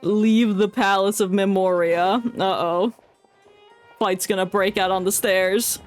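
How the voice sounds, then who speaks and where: A woman's voice: medium pitch, fairly even intonation, takes it slowly. A woman game streamer giving casual, playful running commentary and jokes during a playthrough. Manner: joking, playful. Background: faint game music.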